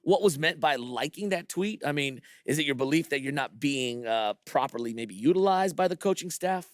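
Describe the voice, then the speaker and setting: An actor playing a polite but probing American sports interviewer in a video game, speaking in a halting, hesitant way. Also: male voice, a little high, lively intonation, no room sound, clean studio sound, lightly acted.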